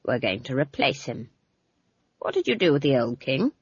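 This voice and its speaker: A woman's voice: very deep voice, big pitch swings, normal speed, breathy edge, no echo, muffled recording, exaggerated voice. A woman voicing a stern, icy old witch who speaks in curt, clipped phrases, reading for an audiobook. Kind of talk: audiobook character voice.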